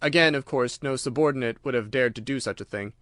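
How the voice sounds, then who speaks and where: A man's voice: mid-range voice, quick pace, fairly bright tone, no echo, clean studio sound. A man reading scripted narration of a classic detective story.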